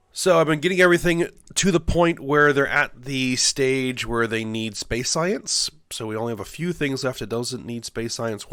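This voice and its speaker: A man's voice: mid-range voice, a bit bright, no room sound, clean studio sound. A man with a stuffy nose from a cold, casually thinking aloud as he plays and builds in a game. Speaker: let's play host. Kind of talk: casual gameplay commentary.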